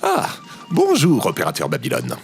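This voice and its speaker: A man's voice: low voice, huge pitch swings, moderate pace, creaky, exaggerated voice. Guy playing super earnest polite operator on a space station, voice goes all over the place, music behind, audio comedy. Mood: cheerful, courteous. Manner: polite, effusive, naively enthusiastic. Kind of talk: scripted audio comedy fiction acting.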